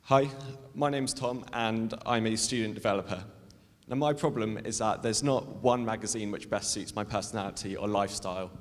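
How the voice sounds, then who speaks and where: A student developer with a low voice runs through his short pitch in a plain, prepared way. The hall adds a long reverb. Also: steady tone, normal speed.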